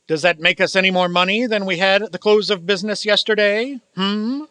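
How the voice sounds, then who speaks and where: A man's voice: high voice, fairly quick, sharp tone, clean tone, no room sound. An actor playing a cold, miserly old businessman in a radio play, speaking in a formal, sarcastic, condescending way. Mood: sarcastic, menacing. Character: cold, miserly old male business owner, scornful of Christmas. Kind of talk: theatrical radio play acting.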